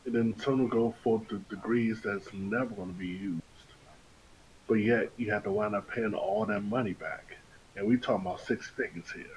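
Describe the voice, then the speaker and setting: A man's voice: fairly low pitch, fairly even intonation, moderate pace, a little mellow, slight background noise, poor audio. A man talking casually as a guest in a conversation on a stream. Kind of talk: casual chat.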